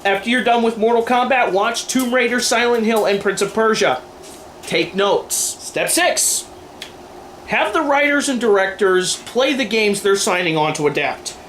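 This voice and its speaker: A man with a high-pitched voice gives opinionated commentary. He stresses his points emphatically, with a sardonic edge and crude humour. The homemade recording carries some background noise. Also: expressive, unhurried, fairly bright tone. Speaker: video commentator. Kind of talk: opinionated scripted-style commentary with ad-libs. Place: indoors, in front of a running TV. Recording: clear background noise, home camera.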